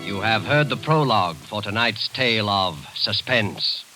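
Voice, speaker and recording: A man's voice: lowish voice, expressive, a little slow, low-quality recording. Ominous male radio announcer over music. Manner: ominous, formal. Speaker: program announcer. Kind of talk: dramatic scripted 1940s radio introduction. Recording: old radio broadcast, music behind.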